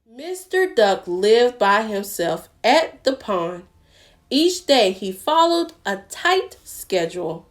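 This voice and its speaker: A woman's voice: mid-range voice, very animated, slow. A woman hosting a preschool storytime, speaking expressively and directly to young listeners as she reads aloud. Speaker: children's librarian and storytime host.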